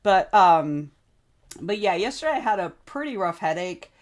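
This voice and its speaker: A woman hosting a casual writing livestream, chatting and greeting viewers by name. Her voice is a little hoarse and wobbly, and she keeps clearing her throat. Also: medium pitch, very animated, moderate pace. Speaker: livestream host. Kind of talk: casual chat on a writing stream.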